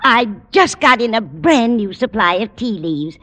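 A woman's voice: fairly high pitch, sing-song, measured pace, sharp tone, exaggerated voice. Dotty, kindly older landlady: fluttery, swooping intonation, vintage radio comedy in an echoey hall. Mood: cheerful, vague. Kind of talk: 1940s-50s radio sitcom acting. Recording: echoey old radio broadcast with faint noise.

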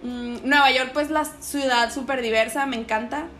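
Slangy Mexican woman, lively chat. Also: high-pitched, normal speed, a little hiss or hum. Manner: slangy, animated, quotes others in voices. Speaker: guest. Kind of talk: casual interview chat.

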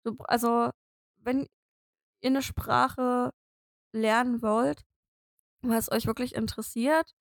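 A woman's voice: on the high side, steady tone, slow pace, vocal fry, long pauses, no room sound. Chatty young German woman, dry close sound. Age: 20s. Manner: chatty, many fillers, laughs often. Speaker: podcast co-host. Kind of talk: casual podcast chat.

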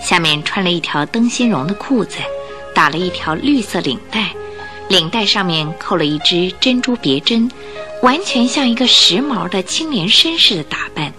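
A woman narrates a radio drama in a storytelling style. Her mid-pitched voice rises and falls expressively, with music clearly heard underneath. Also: lively intonation, moderate pace.

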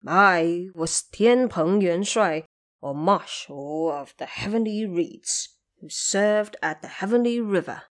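A woman's voice: fairly low pitch, animated, takes it slowly. A woman voicing a male pig monster, a reckless brute who turns humble and confessional, in an audio drama story.

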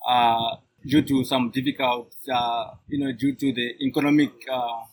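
A man's voice: mid-range voice, steady tone, normal speed, rough recording. A man in his thirties from Zimbabwe, talking as a podcast guest outdoors by a coffee shop, hesitant with many restarts. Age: 30s.

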